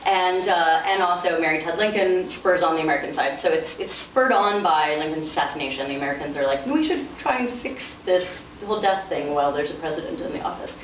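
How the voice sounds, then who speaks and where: A woman's voice: sits low, a little fast, few pauses, muffled recording. A woman author speaking at a bookstore reading, in the style of an informal book event. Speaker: author reading from own novel. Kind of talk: informal book talk and dramatic prose reading. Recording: roomy, distant, noisy bandlimited event recording.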